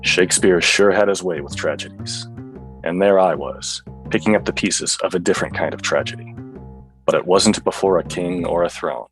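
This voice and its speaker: Man acting a deep-voiced, boozy noir detective. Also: normal speed, faint music behind, poor audio. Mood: brooding. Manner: hard-boiled, melodramatic, deadpan. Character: boozy, bitter, clueless male android-hunting detective. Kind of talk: noir radio play, narration and dialogue.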